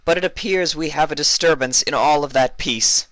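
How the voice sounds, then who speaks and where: A man's voice: on the high side, moderate pace, a bit bright, no room sound, pitched above the performer's own voice, natural voice. A man voicing a regal pony princess in a story reading, speaking formally and with command to her subjects. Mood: stately proclaiming. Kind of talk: dramatised fan fiction reading.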